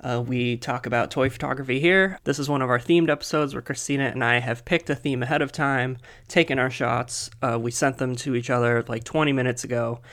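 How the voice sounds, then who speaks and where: A man co-hosting a casual podcast, chatty with lots of fillers, soft and a little husky as he comes down with a cold. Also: mid-range voice, steady tone, fairly quick, few pauses. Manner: chatty, laughs often, many fillers. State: coming down with a cold. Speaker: podcast co-host.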